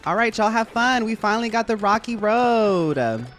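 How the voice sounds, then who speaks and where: A streamer with a high, squeaky, nasal voice talks to chat in a lively, sing-song way, pitch swooping up and down. Laughs come easily between casual remarks, and faint music plays behind. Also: moderate pace. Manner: chatty, animated, laughs often, talks to the game and chat.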